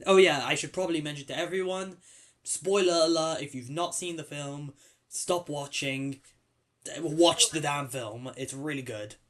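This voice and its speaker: A young man hosting a casual podcast chat, excitable and swearing, often stumbling over his words. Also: fairly high pitch, normal speed. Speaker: podcast host.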